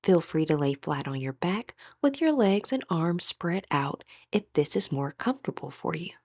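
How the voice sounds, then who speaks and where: A woman with a breathy voice gently leading a guided meditation as an instructor, in measured, scripted phrases. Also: deep voice, big pitch swings, no room sound, clean studio sound.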